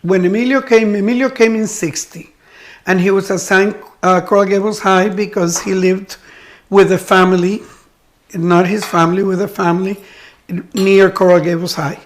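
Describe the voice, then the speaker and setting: An older woman from Cuba talks in a chatty, reminiscing way, often restarting her sentences. Her voice sits at a middle pitch for a woman. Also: fairly even intonation, normal speed. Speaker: guest, retired teacher. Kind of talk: casual chat.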